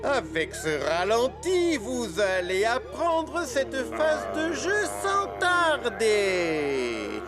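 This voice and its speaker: A man's voice: extremely high pitch, huge pitch swings, very slow, music in the background, exaggerated voice. A man voicing a scheming, gloating villain coach in a French-dubbed cartoon, with a menacing delivery. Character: scheming male villain coach who hypnotises players.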